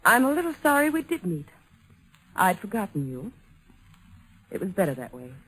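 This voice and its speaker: An actress playing a poised, coolly polite former sweetheart, genteel and guarded, in 1950s radio drama style. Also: female voice, mid-range voice, huge pitch swings, moderate pace, a bit bright, breathy edge, exaggerated voice, rough recording.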